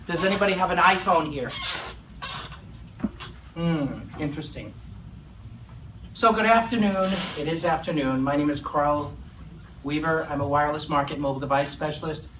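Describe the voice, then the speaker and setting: A man's voice: fairly high pitch, moderate pace, long pauses. Joking Massachusetts-accented man presenting. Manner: lively, joking, talks to the audience. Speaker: conference presenter. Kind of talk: live technical presentation. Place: conference room in an office. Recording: echoey room, distant mic, faint hum.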